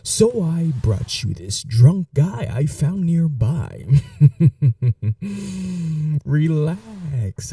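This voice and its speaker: A man playing a possessive, controlling male vampire in an ASMR roleplay, soft-spoken and calmly menacing, slipping into whispers. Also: fairly high pitch, slightly rough, far from the mic, full character voice. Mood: coaxing, menacing. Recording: voice a little off-mic, dry.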